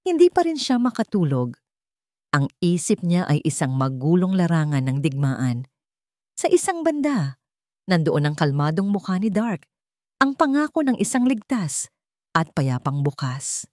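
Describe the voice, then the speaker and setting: A woman with a Filipino accent narrating a scripted romance drama in a measured, emotive storytelling manner. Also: on the low side, mellow, no room sound, studio quality.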